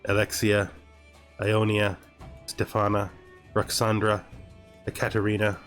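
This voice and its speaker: A man's voice: fairly low pitch, completely flat delivery, unhurried, fairly bright tone, soft background music. A man commentating casually on a video game he plays, thinking aloud and reading text from the screen. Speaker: gameplay streamer.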